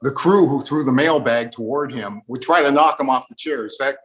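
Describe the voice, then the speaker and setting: Male interviewer with a mid-pitched voice. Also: brisk, fairly bright tone, low-quality recording. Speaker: interviewer, host. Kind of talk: interview hosting.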